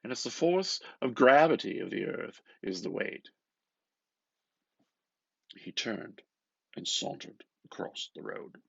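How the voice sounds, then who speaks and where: A man gives an expressive, theatrical reading of a literary novel, moving between murmured asides and louder phrases. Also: mid-range voice, slow, creaky, long pauses, slightly rough, no room sound, dull audio. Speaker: reader of a novel.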